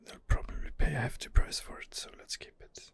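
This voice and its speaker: A man murmuring hesitantly as he hosts an ASMR gaming role-play, thinking aloud close to the microphone. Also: a little fast. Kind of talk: whispered ASMR role-play gameplay narration.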